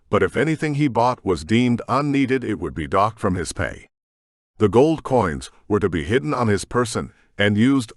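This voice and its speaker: A man narrating a science fiction audiobook in a steady storytelling manner. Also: on the low side, no room sound, clean studio sound. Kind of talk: scripted narration.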